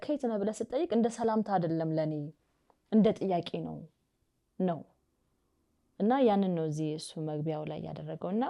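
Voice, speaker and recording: A woman's voice: lowish voice, racing, long pauses, mellow. A woman with an Ethiopian accent, hosting a conversation in a casual chatting style. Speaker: podcast host. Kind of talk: casual chat, summing up and translating.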